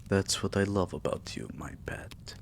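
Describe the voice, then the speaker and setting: A man playing a tender, possessive immortal vampire king in an intimate ASMR roleplay, murmuring softly and often sinking into a whisper with regal, doting care. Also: sits low, a little hiss or hum. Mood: soft, loving. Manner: slow, hushed, breathy murmur, often dropping to a whisper.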